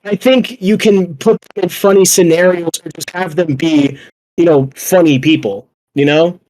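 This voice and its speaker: A man's voice: a little high, steady tone, normal speed, a little mellow, poor audio. A young adult man hosting a casual podcast, rambling along in loose, profane talk. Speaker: podcast host.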